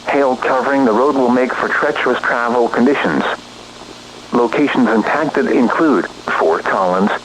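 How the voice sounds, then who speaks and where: A man's synthetic, formal voice reading a scripted emergency weather warning in a flat, robotic alert-system delivery. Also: mid-range voice, a little hiss or hum, poor audio.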